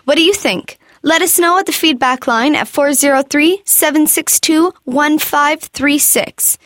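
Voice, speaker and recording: A woman's voice: sits high, measured pace, fairly bright tone, no echo, studio quality. A woman hosting and interviewing on a community radio show.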